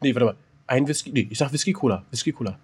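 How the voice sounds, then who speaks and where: A man's voice: mid-range voice, fast, darkish tone, breathy edge, soft background music. A man co-hosting a casual podcast, answering with dry, teasing banter. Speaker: podcast co-host.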